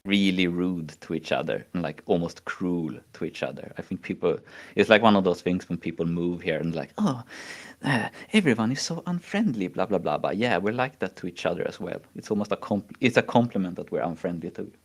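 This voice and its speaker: Very low-voiced Swedish man chatting. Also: animated, brisk. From Sweden. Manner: rambling, mocking, frequent "like" and "you know". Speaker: streamer. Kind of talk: casual chat.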